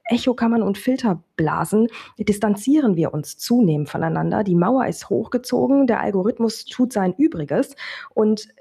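A woman's voice: medium pitch, lively intonation, normal speed, vocal fry, mellow, husky. A woman speaking as a guest on a discussion panel, reflective and earnest.

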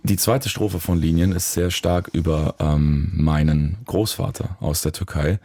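A man, a musician, talking reflectively in an interview, searching for words with pauses and restarts. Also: deep voice, steady tone, mellow, dry recording. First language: German. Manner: thoughtful, searching, with fillers and restarts. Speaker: musician guest.

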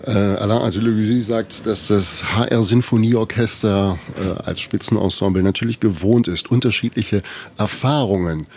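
A man hosting a live interview and translating his guest's answers in a measured, formal way. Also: lowish voice, fairly even intonation, darkish tone, a little hiss or hum. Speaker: radio host and interpreter.

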